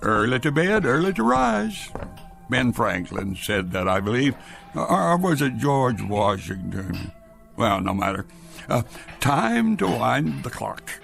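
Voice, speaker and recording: A man's voice: mid-range voice, very animated, takes it slowly, gravelly, fairly bright tone, faint music behind, full character voice. An actor playing an anxious, stubborn old grandfather in a radio drama, with a fussy, stammering, insistent way of talking. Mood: chipper. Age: older.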